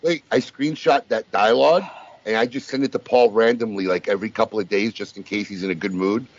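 A man's voice: lowish voice, a little fast. A man co-hosting a talk show, telling stories in a casual, teasing banter. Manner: teasing storyteller. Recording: muffled lo-fi live stream audio.

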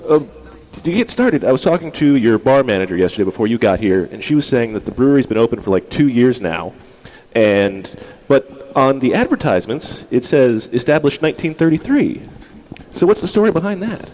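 A man hosting a casual interview, asking relaxed questions with a few fillers. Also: medium pitch, a little fast. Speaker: interviewer, host. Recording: slightly dull recording with faint ambient noise.

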